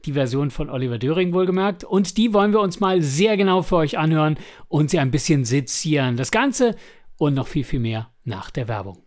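Male co-host for a casual review podcast. Slightly high register, halting, rephrases as he goes. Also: lively intonation, a little mellow. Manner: hesitant, halting, self-correcting mid-sentence. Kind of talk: casual conversational review talk.

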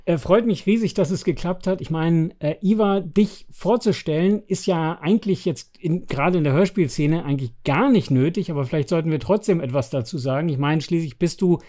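A man with a fairly high but very mellow, soft-edged voice co-hosts a podcast. He speaks casually and hesitantly, building his phrases as he goes. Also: brisk, hardly any pauses. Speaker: podcast co-host, interviewer. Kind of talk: casual conversational interview.

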